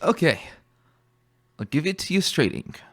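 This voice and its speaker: A man's voice: a little high, big pitch swings, unhurried, fairly bright tone, no room sound, faint music behind, voice pushed up, exaggerated voice. A man voicing a nervous, lovestruck young male pony medic, stammering and apologetic as he blurts things out in a dramatic reading.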